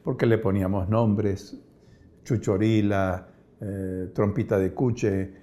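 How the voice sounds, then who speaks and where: An older man recalling past events in an interview, haltingly searching for words. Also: fairly low pitch, steady tone, warm tone. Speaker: interviewee, former guerrilla radio member. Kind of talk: spoken recollection in interview.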